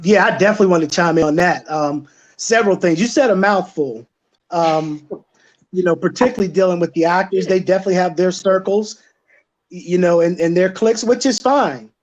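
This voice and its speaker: A man's voice: high-pitched, fairly quick. A man speaking emphatically and colloquially in a panel chat as a stage producer and director, on muffled call audio.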